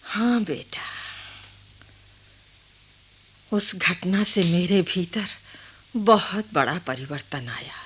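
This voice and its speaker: A woman acting an old village grandmother in a Hindi radio drama, calm and philosophical, speaking in a measured, reflective way with dramatic pauses. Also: fairly low pitch, a little hiss or hum. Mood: solemn, confiding. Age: older.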